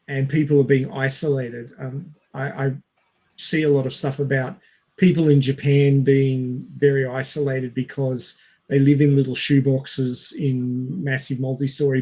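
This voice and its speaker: A man chatting casually as a podcast co-host on a headset mic, pausing to search for words as he explains. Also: medium pitch, a little mellow. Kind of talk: casual film podcast chat.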